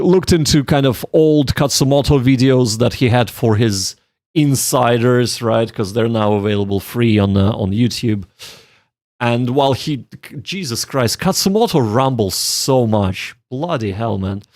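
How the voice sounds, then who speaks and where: A man's voice: medium pitch, darkish tone, dry recording. Man thinking aloud in a dry-sounding recording. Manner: rambling, thinking aloud, self-reflective. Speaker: streamer learning Japanese. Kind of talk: casual livestream chat with study and game reading.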